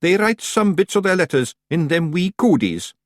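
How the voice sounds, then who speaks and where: A man's voice: high voice, big pitch swings, moderate pace, no echo, clean studio sound, voice pushed up. A man voicing a brash, blustering small male fairy clan chief with a Scottish accent, in an audiobook. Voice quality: boastful, blustering.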